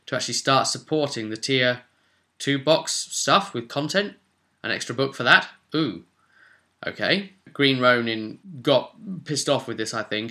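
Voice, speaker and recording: Bright-voiced man thinking aloud, casual vlog. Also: medium pitch. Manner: informal, thinking aloud, conversational. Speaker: vlogger and tabletop game master. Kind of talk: casual gaming vlog monologue.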